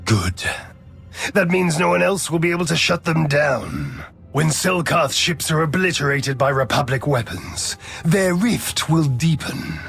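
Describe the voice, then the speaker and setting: An actor playing an arrogant, power-hungry Sith lord in a video game, speaking in a formal, imperious and deliberate manner. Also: male voice, on the high side, animated, vocal fry, a bit bright, faint music behind, exaggerated voice. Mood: gloating, commanding. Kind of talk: dramatic video game voice acting.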